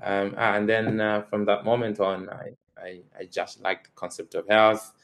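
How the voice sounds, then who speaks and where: A man with a very low, deep voice, from Zambia and in his thirties. He talks through his background as an interview guest, pausing often with ums and restarts and laughing softly now and then. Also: level tone. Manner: hesitant, many fillers and restarts, soft laughs. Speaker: guest, college tutor. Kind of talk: relaxed interview answers.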